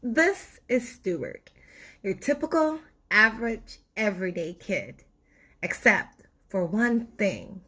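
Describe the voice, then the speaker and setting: A woman narrating a children's storybook expressively, with deliberate, dramatic phrasing. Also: mid-range voice, big pitch swings, sharp tone, husky. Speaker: storybook reader.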